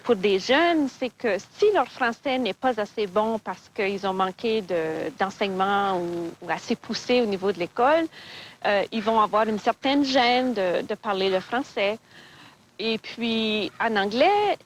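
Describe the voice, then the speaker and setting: A woman's voice: medium pitch, expressive, a little slow, a little hiss or hum. A woman explaining a point in an interview clip.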